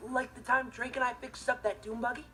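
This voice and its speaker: A man's voice: extremely high pitch, level tone, fairly quick. A young male actor playing an earnest, responsible, easily exasperated teenage stepbrother, telling stories with emphatic comic timing in a TV sitcom. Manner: emphatic, theatrical comic delivery. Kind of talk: scripted comic monologue in a TV sitcom. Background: music and laughter.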